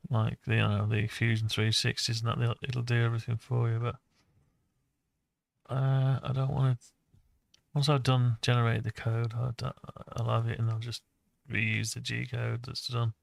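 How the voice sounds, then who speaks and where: A man thinking aloud and muttering to himself through an unscripted software walkthrough. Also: medium pitch, completely flat delivery, moderate pace, creaky, dry recording. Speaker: hobbyist tutorial maker.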